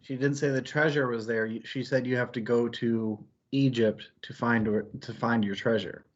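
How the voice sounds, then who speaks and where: A man's voice: mid-range voice, steady tone, moderate pace, highs cut off. A man co-hosting a casual book discussion, talking in a reflective, analytical and wordy way with digressions. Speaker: co-host of a book club stream.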